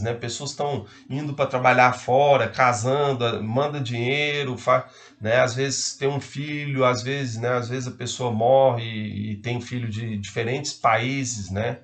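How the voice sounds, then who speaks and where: Man, law lecturer; loose, halting delivery, constant tag questions. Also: mid-range voice, fairly bright tone. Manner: hesitant, frequent fillers and tag questions. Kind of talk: casual spoken lecture.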